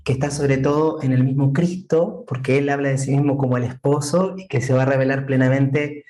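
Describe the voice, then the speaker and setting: A man with an Argentine accent, a priest from Entre Ríos, speaking as a guest in a measured, preaching cadence. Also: medium pitch, little pitch movement, dark tone. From Argentina, Entre Ríos province. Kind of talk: calm explanatory talk. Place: remote, joining from another country.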